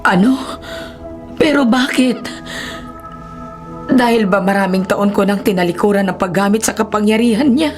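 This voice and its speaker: An actress playing an anxious, devoted older nanny in a radio horror drama, speaking in an earnest, emotional, melodramatic way. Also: female voice, mid-range voice, moderate pace, long pauses, soft background music, lightly acted. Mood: worried, resolute.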